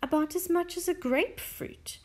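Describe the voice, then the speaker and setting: A woman voicing a sweet, kindly lady who dotes on her pet tortoise, speaking in a high, fluttery, pleading way. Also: moderate pace, a little mellow. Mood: doting, pleading.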